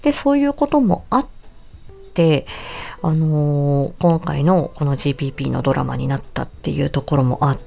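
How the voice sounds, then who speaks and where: A woman with a low, slightly mellow voice talks casually as a solo podcast host. She is chatty, polite and effusive in how she speaks. Soft music plays faintly behind her. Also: moderate pace, vocal fry. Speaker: podcast host and amateur voice actor.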